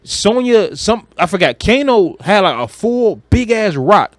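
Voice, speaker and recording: A man's voice: sits high, very animated, measured pace, no room sound, clean studio sound. A man chatting casually and emphatically about movies on a podcast, with slang, swearing and repeated phrases. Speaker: podcast co-host.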